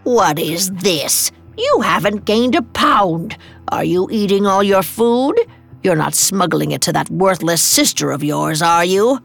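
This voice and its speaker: Woman playing a slow, creaky, cruel old witch. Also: fairly low pitch, expressive, faint music behind, exaggerated voice. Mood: suspicious, scornful. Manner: menacing, scornful, demanding. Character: cruel, impatient old witch who eats children.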